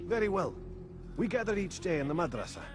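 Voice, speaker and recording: Wary zealot, swooping pitch. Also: male voice, sing-song, brisk, over music. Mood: guarded, testing. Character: wary male zealot recruiting for secret religious order. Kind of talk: video game cutscene dialogue.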